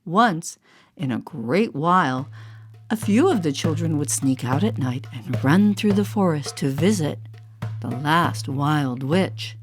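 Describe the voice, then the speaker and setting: A woman storyteller narrating a storybook with expressive, deliberate phrasing. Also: low voice. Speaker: storyteller and voice artist. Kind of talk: scripted storybook narration for all ages. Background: music.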